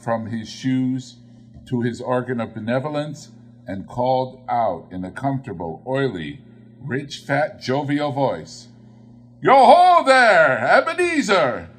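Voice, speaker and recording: A man narrating a literary story as an audiobook reader, in a theatrical, expressive style. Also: medium pitch, slightly rough. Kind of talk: dramatic literary story reading.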